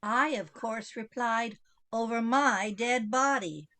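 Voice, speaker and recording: A woman's voice: fairly high pitch, slow pace, pushed and bright, exaggerated voice. A woman playing an imperious, easily vexed old mother in a comic radio play, with a haughty, formal, theatrical manner.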